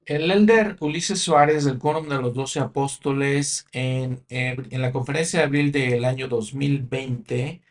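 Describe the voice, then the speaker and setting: A man's voice: mid-range voice, a little slow, hardly any pauses. A Spanish-speaking man hosting a religious podcast, explaining history in a teaching manner, with frequent fillers and restarts. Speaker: podcast host.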